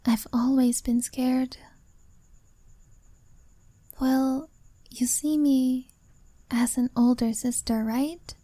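A woman's voice: high-pitched, steady tone, very slow, pauses often, no room sound, full character voice. Woman playing a shy young confessing woman. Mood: nervous. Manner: soft, hesitant, often whispered. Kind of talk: ASMR-style roleplay monologue.

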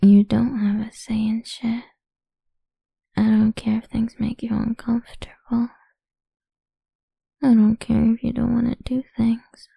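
A woman's voice: fairly high pitch, flat delivery, unhurried, vocal fry, pauses often, mellow, breathy edge, no echo, heavily acted. A woman voicing a cruel, domineering woman who taunts in a crude, menacing whisper in an audio roleplay.

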